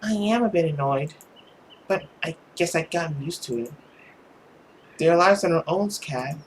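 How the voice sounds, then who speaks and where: A performer voicing a mild, soft-hearted girl who speaks in a subdued, reluctant way. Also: mid-range voice, a little slow, slight background noise, lightly acted. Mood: resigned.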